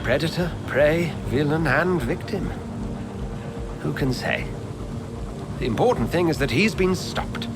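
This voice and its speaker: Urbane british hospital boss, eager scholarly type, theatrical and animated, game cutscene w loud music. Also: male voice, on the high side, a little slow, pauses often. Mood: wry, grave. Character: genteel, eager male hospital administrator and physician, secretive scholar. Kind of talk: dramatic video game dialogue. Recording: loud music and ambience behind.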